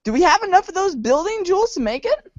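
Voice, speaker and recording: A woman voicing an excitable, fretful young boy gamer who exclaims and whines his questions in a fan read-along. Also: very high voice, fast, no echo. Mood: frantic, fretful. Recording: dull lo-fi audio.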